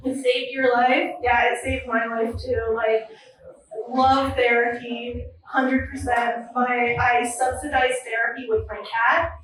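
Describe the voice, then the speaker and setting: A woman's voice: a little high, level tone, slow, a bit bright. A woman in her twenties doing a nervous, self-deprecating stand-up set at an open mic, full of ums. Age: 20s. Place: comedy club or small venue. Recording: very echoey room from a distance.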